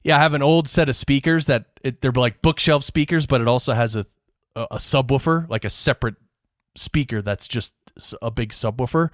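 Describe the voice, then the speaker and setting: A man talks like a podcast host in casual conversation. His delivery is informal and a bit rambling, at a middling pitch. The sound is close and dry, with no room around it. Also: brisk, no room sound. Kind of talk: casual interview chat.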